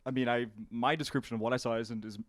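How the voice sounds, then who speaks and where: A man's voice: medium pitch, fairly even intonation, fast talker, no echo. A man hosting a podcast, chatting informally and reacting to his guest with short, loose, sweary interjections. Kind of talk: casual chat.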